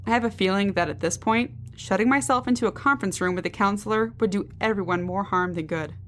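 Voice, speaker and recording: An actress playing a tough, sardonic mutineer in an audio drama, speaking in a clipped, businesslike way. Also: female voice, medium pitch, quick pace, lightly acted. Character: tough, wry, brisk female mutineer guard.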